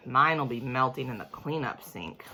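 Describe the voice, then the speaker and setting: A woman voicing a brash, excitable little schoolboy in a storybook, with a blunt, emphatic manner. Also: rumbling low, normal speed, full character voice, poor audio. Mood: grimly resolved.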